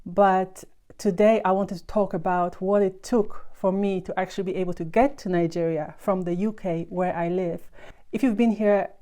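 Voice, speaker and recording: A woman's voice: medium pitch, steady tone, normal speed, mellow. A young woman from Poland, a vlogger telling a personal story to camera in a conversational way, full of "you know" asides.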